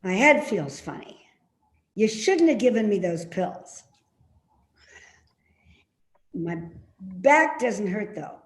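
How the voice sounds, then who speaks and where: A woman's voice: mid-range voice, sing-song, takes it slowly, echoey room. A woman acting a cantankerous, stubborn and suspicious older mother in a play reading, with a querulous, scolding manner. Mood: groggy, complaining.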